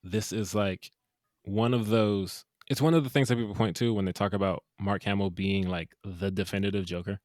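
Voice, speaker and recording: A man co-hosting a casual movie review podcast, animated and jokey with quick asides. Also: fairly low pitch, no echo, clean studio sound. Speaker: podcast co-host.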